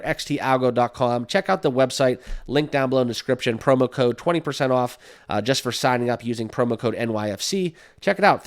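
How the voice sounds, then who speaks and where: A man hosting a crypto channel, chatting casually and upbeat with lots of fillers and "right?" tags, sniffling with a slight cold. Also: medium pitch. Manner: upbeat, full of fillers, keeps asking "right?". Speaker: crypto YouTube host. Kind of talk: casual talk to camera.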